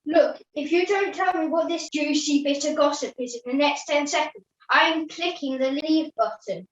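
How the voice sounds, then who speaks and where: A woman playing a brisk, exasperated, punctual puppet character in an online puppet show. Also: high voice, slight background noise, lightly acted. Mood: impatient, fussy. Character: impatient, punctual puppet fretting about being late. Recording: muffled, echoey video call.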